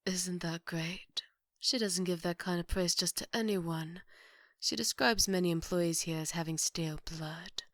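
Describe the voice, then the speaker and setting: A woman's voice: on the low side, a little slow, no echo, studio quality. A woman playing a formal, devoted maid with a polite menace in an ASMR roleplay, composed and often whispery.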